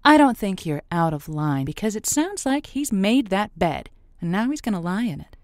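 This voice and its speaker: A woman playing a quick-witted, sympathetic radio advice host in an audio drama, with wry, folksy phrasing. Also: mid-range voice, sing-song, dry recording, studio quality, natural voice. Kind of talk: audio drama call-in show acting.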